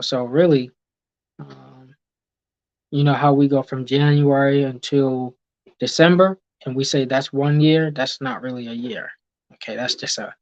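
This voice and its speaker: A man talks through his ideas at an easy pace, with a mid-pitched voice and a lot of "um" and "okay" between thoughts. He stops to read viewers' comments aloud and answer them. The recording is dry and a little dull, like a cheap mic. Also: darkish tone, no room sound. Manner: unhurried, many ums and okays, reads comments aloud. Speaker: host reading live chat. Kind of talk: casual teaching talk with viewers.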